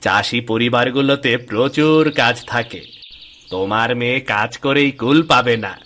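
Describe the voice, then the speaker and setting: A man plays a patient village father in a cartoon. He is middle-aged and indulgent, speaking slowly in a medium-pitched voice with measured, drawn-out phrasing. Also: a bit bright, close to an everyday voice. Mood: thoughtful, reassuring. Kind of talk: animated cartoon voice acting.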